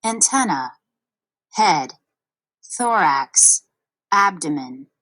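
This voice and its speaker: A woman reading scripted educational narration for a children's read-along, with deliberate, careful enunciation. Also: low-pitched, lively intonation, pushed and bright, poor audio. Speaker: narrator of an educational audio book.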